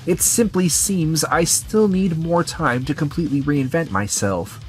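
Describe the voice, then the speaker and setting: A man's voice: fairly high pitch, measured pace, lightly acted. Haughty male company boss, manga dub, voiced by a man: evasive, defensive, faint music behind. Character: proud, defensive male company president, evasive under pressure. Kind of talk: scripted manga dub acting.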